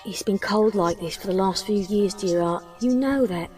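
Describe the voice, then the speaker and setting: A woman's voice: medium pitch, moderate pace, recorded from a distance, loud music behind the voice, natural voice. A woman playing an earth pony mother in a fan audio story, affectionate to family but grumbling and proud. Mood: grumbling, motherly. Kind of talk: fan audio drama acting.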